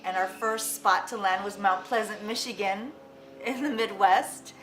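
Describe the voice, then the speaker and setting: A woman's voice: medium pitch, a little slow, faint music behind. A woman in her forties, born in Vietnam and raised in Los Angeles, reflecting thoughtfully in an oral history interview as an artist. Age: 40s. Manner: thoughtful, with frequent restarts. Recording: echoey room, faint background noise.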